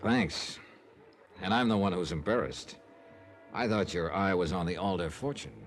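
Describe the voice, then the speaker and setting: An actor playing a wry, hard-boiled American insurance investigator, narrating an old-time radio detective drama in clipped, dramatic phrases. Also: male voice, low voice, moderate pace, soft background music, lightly acted. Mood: wry, brisk.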